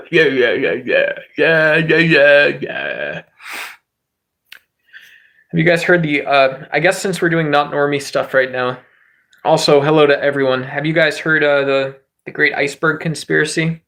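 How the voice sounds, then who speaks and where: Rambling, gravelly-voiced streamer man. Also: a bit bright. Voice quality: says his voice is high and squeaky at times. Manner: rambling, joking, greets viewers by name, swears freely. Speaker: livestream host. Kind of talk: casual livestream chat with viewers.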